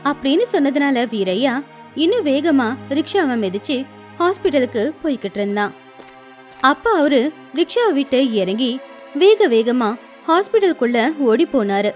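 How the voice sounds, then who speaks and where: A woman's voice: high voice, big pitch swings, moderate pace, faint music behind. A woman reading scripted storybook narration as the narrator of a tale.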